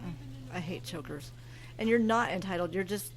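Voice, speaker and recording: An actress playing a frustrated, disapproving wife of thirty in a horror audio drama. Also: female voice, lowish voice, normal speed, slight background noise, natural voice. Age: 30s. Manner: reproachful, emotional. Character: frustrated thirty-year-old wife, disapproving, easily upset friend.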